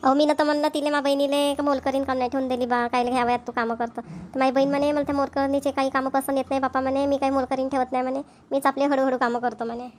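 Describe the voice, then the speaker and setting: A woman's voice: high voice, little pitch movement, racing, slight background noise, lightly acted. A woman with a Vidarbha Marathi accent voicing a chatty, concerned middle-aged village woman in an amateur cartoon.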